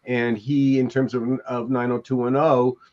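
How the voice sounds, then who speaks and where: An older man co-hosting a casual chat over a video call, reminiscing in a halting way. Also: mid-range voice, little pitch movement, a little mellow. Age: older, 60s or 70s. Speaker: podcast co-host. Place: room at home, indoors. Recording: dull, lo-fi call audio.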